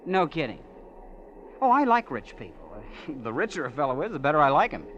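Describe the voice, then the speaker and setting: A man's voice: on the high side, huge pitch swings, a little fast, fairly bright tone, slight background noise. An actor playing a naive, eager young man from Missouri who stammers and talks in a folksy way, in 1940s radio acting. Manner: stammering, wide-eyed, folksy. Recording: dull old radio recording with music.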